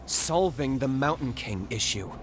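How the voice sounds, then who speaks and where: A calm, pragmatic young hunter in a video game, at a middle pitch for a man. He stays cool and clipped, delivering every line in a matter-of-fact way. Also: unhurried, a little hiss or hum. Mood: resolute.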